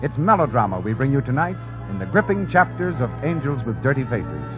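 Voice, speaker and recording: An American man announces a 1930s radio show in a formal showman's style. His mid-pitched voice swings widely up and down. The broadcast sounds thin and tinny, with orchestral music clearly behind him. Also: very animated, a little fast.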